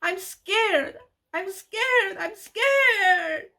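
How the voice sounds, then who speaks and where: A panicked young woman whose voice leaps far above the narrator's, loud and pleading, as a woman storyteller acts her out. She wails and begs in a shrill, unsteady pitch. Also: animated, drawn-out words, full character voice. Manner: high, pleading cries.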